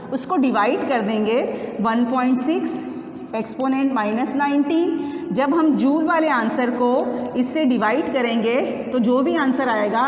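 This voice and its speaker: Woman physics teacher, high voice, repetitive step-by-step lecturing, reverberant room. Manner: instructive, repetitive, asks and answers own questions. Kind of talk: classroom lecture working through numerical problems. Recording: echoey reverberant room with faint noise.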